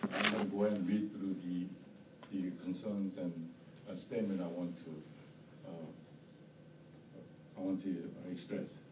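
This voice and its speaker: Low-voiced man with a strong non-native accent. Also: level tone. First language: not English. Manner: halting, repetitive phrasing. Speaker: bidder presenting an appeal at a hearing. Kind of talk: formal statement, partly read aloud. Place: indoor hearing room. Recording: roomy, distant mic, faint noise.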